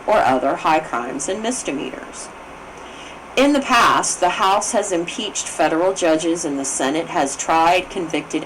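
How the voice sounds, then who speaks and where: A woman reading educational material aloud as a presenter, in deliberate, enunciated short phrases. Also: fairly low pitch, noisy background.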